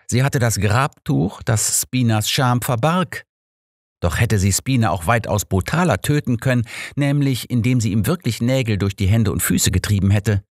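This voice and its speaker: A man's voice: medium pitch, moderate pace, no room sound, natural voice. A man plays a detective's loyal male sidekick in an audiobook. He argues his case step by step, reassuring and insistent, in a dry recording. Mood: reasoning, insistent. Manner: argumentative, reasoning. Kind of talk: audiobook character reading.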